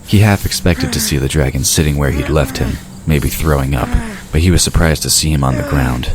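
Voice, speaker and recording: Very deep-voiced male narrator. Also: fairly quick, a crowd in the background. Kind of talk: scripted audio drama narration. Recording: noisy ambient sound effects behind.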